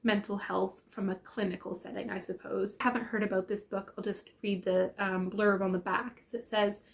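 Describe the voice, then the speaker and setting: A young adult woman with a congested, croaky voice from a sinus infection, chatting casually about books with many ums and self-corrections. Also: medium pitch, level tone, moderate pace. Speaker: book vlogger. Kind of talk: casual book chat.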